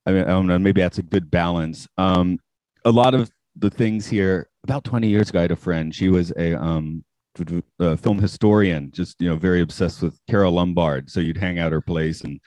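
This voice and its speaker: A man's voice: low-pitched, brisk, darkish tone, dry recording. A man with an American accent hosting a podcast, musing in a laid-back, anecdotal, casual chat. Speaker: podcast host.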